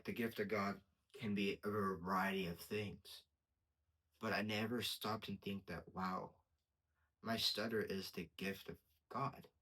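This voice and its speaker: A young man with a stutter gives a casual personal testimony as a podcast host, repeating words and pausing mid-sentence. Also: fairly low pitch, a bit bright, slightly rough, poor audio.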